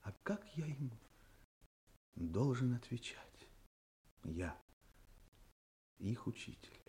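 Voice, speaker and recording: An actor playing a restless, bookish young village schoolteacher in a 1960s radio drama, pensive and halting in delivery. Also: male voice, mid-range voice, sing-song, mellow. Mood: brooding, perplexed. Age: young adult. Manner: pensive, halting, reciting verse.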